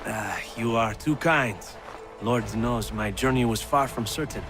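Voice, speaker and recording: An actor playing a proud, spirited young French officer in a video game, with a French accent and a courtly, dramatic storytelling manner. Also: male voice, mid-range voice, a little slow, over music, natural voice. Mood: jovial. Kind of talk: video game cutscene acting.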